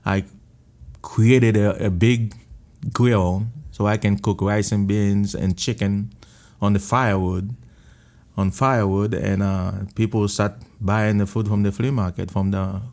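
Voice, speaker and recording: A man from Haiti with a Haitian accent shares his life story in an interview at a restaurant. His voice is low and warm with a gravelly edge. He speaks haltingly and earnestly, circling back and repeating words. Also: steady tone. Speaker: restaurant owner and missionary.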